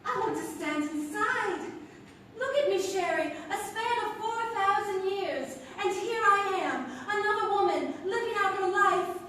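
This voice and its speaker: A woman's voice: very high-pitched, animated, a little slow, sharp tone, full character voice. A woman playing a vain, gushing actress in a stage comedy, drawing out her sentimental lines melodramatically. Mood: melodramatic. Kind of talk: comic stage radio-play acting. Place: theatre stage before a live audience. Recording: echoey theatre stage from far off, faint noise.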